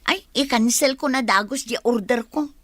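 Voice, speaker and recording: Woman acting a plaintive, high-voiced young Ilocano daughter. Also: moderate pace, a bit bright, no room sound. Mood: dismayed. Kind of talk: Ilocano radio-style drama acting.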